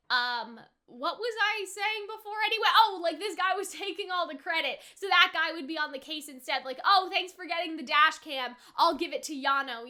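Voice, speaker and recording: High, bright-voiced woman chatting casually. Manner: chatty, animated retellings with slangy asides. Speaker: anime reaction host. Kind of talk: casual reaction commentary.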